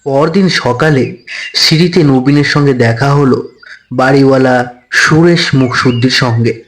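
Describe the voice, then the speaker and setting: A man narrating a scripted horror story in a suspenseful, dramatic manner with deliberate phrasing. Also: mid-range voice, fairly bright tone, rough recording. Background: faint background music.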